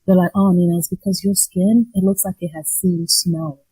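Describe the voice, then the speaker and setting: Breathy, candid woman from Rwanda. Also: lowish voice, fairly even intonation, moderate pace, dark tone, no echo, poor audio. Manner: candid and chatty, with filler words and restarts. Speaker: guest, nonprofit founder. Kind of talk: casual conversational interview.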